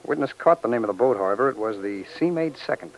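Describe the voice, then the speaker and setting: An actor playing a businesslike investigator in a 1950s radio crime drama, reporting in a clipped, matter-of-fact way. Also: male voice, mid-range voice, expressive, fast, lightly acted. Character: brisk businesslike male federal investigator giving case reports. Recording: noisy old radio recording.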